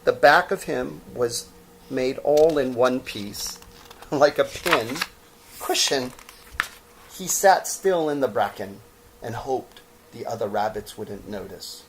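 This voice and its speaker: A man reading a children's story aloud. He takes his time, breaking phrases with theatrical pauses. His intonation swings widely around a medium pitch. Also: animated, noisy background. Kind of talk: expressive children's story narration.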